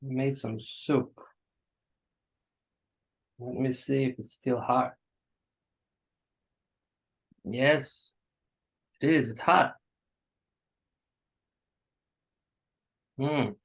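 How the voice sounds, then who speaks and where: A man acting an awkward, apologetic host to a stranger in a staged play reading, halting and understated, on a dull recording. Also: medium pitch, fairly even intonation, vocal fry. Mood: awkwardly kind.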